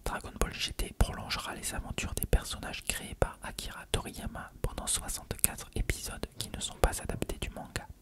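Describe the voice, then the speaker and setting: ASMR reader, fully whispered and breathy, for read-aloud factual text. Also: fairly quick, few pauses. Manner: reading aloud. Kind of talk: whispered ASMR reading of an encyclopedia article.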